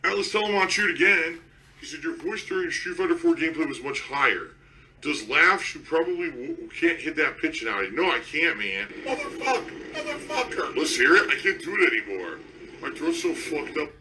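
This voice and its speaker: Raspy, bright-voiced man streamer. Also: on the high side, wildly swooping pitch, moderate pace, a little hiss or hum, poor audio. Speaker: gaming streamer. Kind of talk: casual stream talk.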